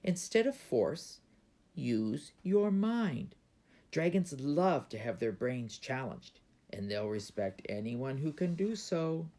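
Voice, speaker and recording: A man as a wise, high-pitched old man. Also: big pitch swings, slow pace, mellow. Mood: encouraging.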